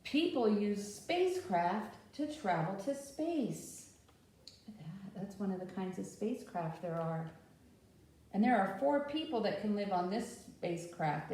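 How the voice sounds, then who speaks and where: A woman's voice: mid-range voice, animated, measured pace, darkish tone. A woman presenting a children's storytime, teaching in simple explanations and asking the children frequent questions. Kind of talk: interactive children's read-aloud and teaching talk. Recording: very echoey room, distant microphone.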